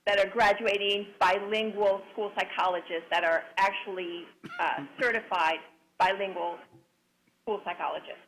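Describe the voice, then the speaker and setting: A woman whose first language is Spanish, a clinical psychologist answering a panel question over a remote link in a measured, deliberate way. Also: medium pitch, steady tone, fairly bright tone, a little hiss or hum, low-quality recording.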